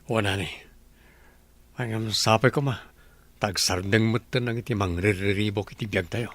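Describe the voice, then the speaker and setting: An actor with an Ilocano accent playing a calm, generous rich businessman. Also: on the low side, moderate pace. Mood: gentle.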